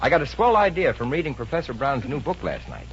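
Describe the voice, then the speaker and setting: Sweet-talking young engineer guy, earnest n animated, mid voice, muffled old radio show. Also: fairly high pitch, quick pace, slight background noise. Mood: hopeful, eager. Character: educated, hopeful, unemployed young engineer and sweet-talking boyfriend. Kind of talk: 1950s radio comedy acting.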